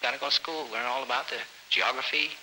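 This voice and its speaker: A man's voice: mid-range voice, fast talker, bright, forceful tone, slight background noise, poor audio. A man voicing a nagging mother who rattles off school subjects in a singsong way. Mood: coaxing. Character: nagging mother urging her son to school.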